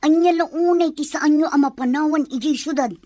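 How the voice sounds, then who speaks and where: A woman's voice: high-pitched, fairly quick, dark tone, no room sound, natural voice. A woman playing a blunt, motherly older village woman in a serial drama. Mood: inquiring. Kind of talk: Ilocano radio-style serial drama acting.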